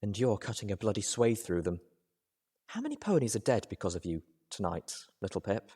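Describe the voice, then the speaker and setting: A man voicing a poised, cool-headed female pony in a story reading, speaking in a measured and gently reproving way. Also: on the low side, big pitch swings, husky.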